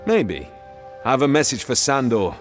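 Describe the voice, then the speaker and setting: A man's voice: medium pitch, big pitch swings, moderate pace, faint music behind, close to an everyday voice. An actor playing a bold male warrior who answers in short, confident lines. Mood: terse. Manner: terse, confident.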